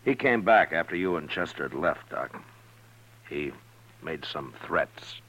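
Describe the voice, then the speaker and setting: An American actor playing a calm, steady Old West marshal with measured, dryly humorous delivery in a 1950s radio western. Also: male voice, very deep voice, a little hiss or hum, heavily acted. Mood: serious, concerned. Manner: measured, laconic, dry. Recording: dull vintage radio recording, music behind.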